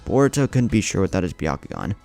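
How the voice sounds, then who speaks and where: A man with a very low, creaky voice delivers dramatic story narration. His delivery swings widely in pitch, and music plays underneath. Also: wildly swooping pitch, fast, a little mellow. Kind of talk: dramatic scripted narration of fan fiction.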